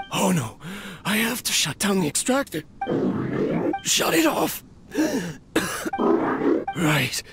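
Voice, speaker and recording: A man's voice: high-pitched, heavily acted. An actor playing a weary, obsessive inventor musing aloud to his robot in an anime video game, with music behind. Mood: strained, failing. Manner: musing, talks as if alone. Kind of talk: anime video game voice acting, recorded log monologue.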